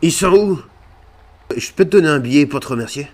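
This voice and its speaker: A man's voice: a little high, animated, fairly quick, darkish tone. A man improvising game roleplay banter in a cheeky, swearing, provocative way on a gaming microphone. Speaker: video creator playing a prankster in online roleplay.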